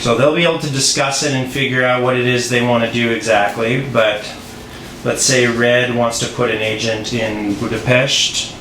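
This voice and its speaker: Male presenter, mid-pitched, informal and unscripted how-to delivery with distracted asides, recorded in an echoey room. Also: level tone, normal speed, a bit bright. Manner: rambling, easily distracted, self-interrupting asides. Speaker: board game designer presenting a tutorial. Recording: roomy echo, clear background noise.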